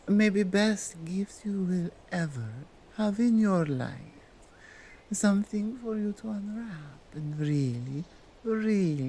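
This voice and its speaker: Slow Nordic-accented dominant Krampus played by a woman. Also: medium pitch, dark tone, slight background noise. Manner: slow, deliberate, halting foreign-sounding phrasing. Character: dominant, strong female Krampus, teasing and sincere. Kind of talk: ASMR roleplay monologue addressed to the listener.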